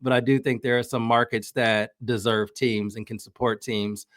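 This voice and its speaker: A man's voice: mid-range voice, fairly even intonation, normal speed, a bit bright, dry recording, studio quality. A man talking casually as a podcast guest, giving opinionated soccer analysis and thinking aloud as he goes. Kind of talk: casual sports analysis in conversation.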